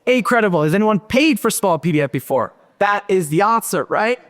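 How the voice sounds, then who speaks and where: Lively man speaking on a conference stage. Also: high-pitched, brisk. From Pakistan and Switzerland. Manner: lively, joking with the audience. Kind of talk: tech conference talk. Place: large conference hall stage. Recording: hall reverb.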